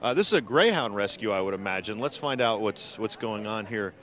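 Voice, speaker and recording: A man's voice: on the low side, animated, brisk, a bit bright. A middle-aged man from New York City hosting a casual live stream outdoors in a city park, chatty and upbeat, with lots of fillers. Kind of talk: casual live walk-around coverage.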